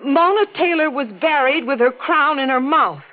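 A woman's voice: high voice, moderate pace, close to an everyday voice. An actress playing an earnest, intense young woman in a vintage radio mystery, speaking with urgent, dramatic emphasis. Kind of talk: 1940s radio melodrama acting. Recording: muffled old radio drama recording.

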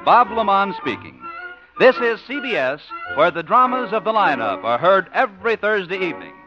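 Old radio announcer guy, 1950s style, high bright voice, kinda muffled recording. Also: very animated, moderate pace, music in the background.

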